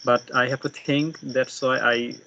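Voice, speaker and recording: A man learning English, chatting haltingly as he searches for words. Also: mid-range voice, little pitch movement, creaky, sharp tone. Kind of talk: casual practice conversation. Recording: dull, noisy with insect chirps.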